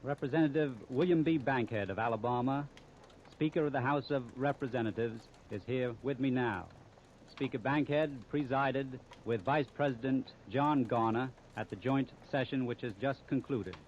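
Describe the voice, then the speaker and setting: A man's voice: mid-range voice, moderate pace, a little mellow. A man introducing and interviewing guests on 1930s radio in a formal, courteous manner. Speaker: radio news analyst and interviewer. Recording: muffled, noisy 1939 radio disc recording.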